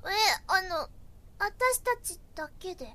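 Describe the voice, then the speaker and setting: A woman playing a timid but easily excited junior schoolgirl in an anime-like audio drama, meek and hesitant. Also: very high-pitched, measured pace, no echo, full character voice. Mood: flustered. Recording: very noisy background with clicks.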